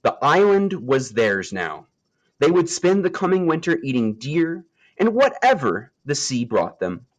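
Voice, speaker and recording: A man reading a children's nature story aloud, with expressive storytelling delivery and deliberate phrasing. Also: on the high side. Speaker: storybook reader.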